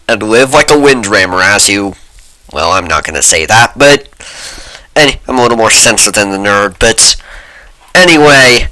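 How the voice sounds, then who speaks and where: Male host for informal commentary: slow, halting delivery, mid-pitched, bright tone. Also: dry recording, low-quality recording. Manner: halting, amused, often breaking into laughter while reading. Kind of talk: informal video commentary, reading aloud.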